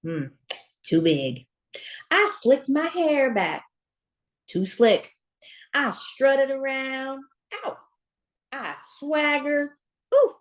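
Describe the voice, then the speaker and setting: An awkward, self-doubting bean from a children's storybook, voiced by a woman reader. The asides are self-deprecating and dramatic. The pitch moves around in a very animated way. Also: extremely slow, long pauses, full character voice. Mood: sheepish, self-mocking. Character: awkward, self-doubting, wistful uncool young bean narrating his life.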